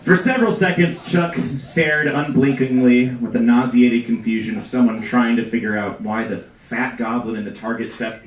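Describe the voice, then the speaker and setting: A man reading a comic story aloud at a live event, with theatrical emphasis and dramatic pauses. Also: medium pitch. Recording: echoey live room, distant mic, background noise.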